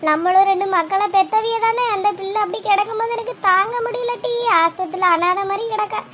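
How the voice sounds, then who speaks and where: Very high-pitched wailing village mother played by a woman. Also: brisk, heavy background noise. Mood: distraught, tearful. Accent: southern Tamil Nadu. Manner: plaintive, repetitive lamenting. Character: distraught older village mother, wailing and helpless. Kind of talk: village drama dialogue.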